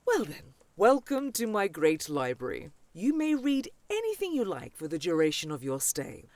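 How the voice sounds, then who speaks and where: A woman's voice: on the low side, wildly swooping pitch, normal speed, a little mellow, no room sound. A woman playing a proud older dragonfolk scholar in a fantasy audio drama, grandly formal and haughty. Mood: stately, helpful.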